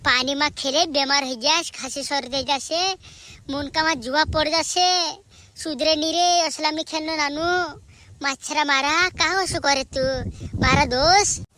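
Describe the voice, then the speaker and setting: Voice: high voice, fairly even intonation, measured pace, pushed and bright. A performer voicing a chattering, scolding village family member in an amateur cartoon comedy. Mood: fussing.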